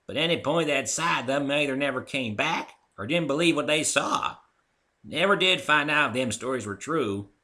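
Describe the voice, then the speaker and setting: A man's voice: mid-range voice, animated, normal speed, sharp tone, voice pushed up. A man voicing an old country granny pony with a Southern accent, reminiscing in a story reading. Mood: reminiscing, folksy. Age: elderly figure.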